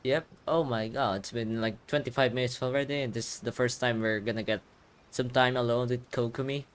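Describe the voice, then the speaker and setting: A man's voice: mid-range voice, steady tone, a little fast, clear, resonant tone. A man giving casual, sarcastic and profane commentary as he records himself playing a video game. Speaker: gamer recording a playthrough. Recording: game music behind the voice. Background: video game music.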